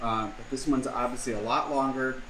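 A man's voice: mid-range voice, steady tone, normal speed, a bit bright, roomy sound, slight background noise, low-quality recording. A man casually explaining a jet ski part swap, thinking aloud with lots of fillers, recorded on a camera in his home garage. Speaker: vlogger. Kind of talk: casual how-to vlog.

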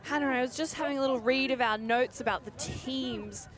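A woman's voice: high-pitched, a little fast. A woman giving light, joking color commentary at an outdoor tournament. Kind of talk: live sports commentary.

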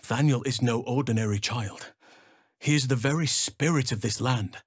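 An actor playing a wise, gentle and steadfast elf druid in a fantasy video game, speaking in measured, formal phrases. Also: male voice, medium pitch, slightly rough, no echo, studio quality, natural voice. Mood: earnest, measured. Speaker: video game companion character. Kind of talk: acted fantasy game dialogue.

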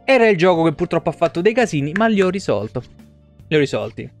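A man's voice: a little high, sing-song, quick pace, soft background music. A young adult man from Italy chatting casually as a game streamer, rambling and swearing freely, on a close desk microphone in a home room. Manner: informal, rambling, profane; repeats words for emphasis. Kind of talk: casual livestream chat.

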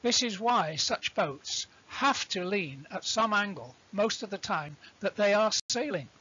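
A man giving an explanatory lecture as an instructor, deliberate and didactic, defining terms as he goes. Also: high voice, animated, bright, forceful tone, breathy edge, lo-fi sound. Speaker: instructor presenting a sailing tutorial.

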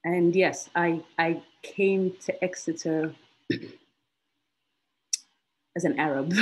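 A woman in her thirties from Egypt, a guest on an online interview, telling her story in a lively way with frequent laughs and repeated phrases for emphasis. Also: deep voice, rough recording. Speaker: guest, institute alumna. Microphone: video call.

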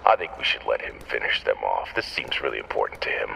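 An actor playing a brusque security guard with a wry streak in a video game. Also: male voice, a bass voice, very animated, fast talker, creaky, sharp tone, big-room sound, slight background noise, heavily acted, low-quality recording. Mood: mock sympathy.

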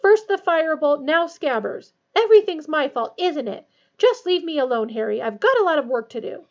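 A woman's voice: high voice, animated, moderate pace, a little mellow. A woman voicing a studious, earnest and prickly teenage girl in a storybook, speaking emphatically, with slightly dull sound. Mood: shrill, angry.

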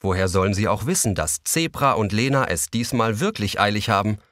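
A man's voice: on the low side, animated, fairly quick, fairly bright tone, no room sound, studio quality. A man playing the composed narrator of a children's audio story, reading scripted narration.

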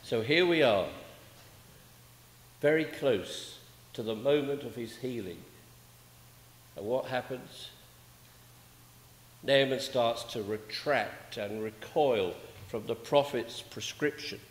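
An older man preaching a sermon in a measured, rhetorical manner, with emphatic pauses and repeated phrases. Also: medium pitch. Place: indoors, small church at a pulpit. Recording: echoey church with long reverb.

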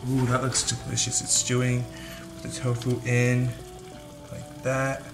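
A man's voice: medium pitch, monotone, unhurried, vocal fry. A young man chatting casually while he cooks at home, thinking aloud and poking fun at his own cooking. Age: young adult. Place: home kitchen, indoors. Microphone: camera mic at a distance. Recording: echoey kitchen with frying noise. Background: sizzling pan and background music.

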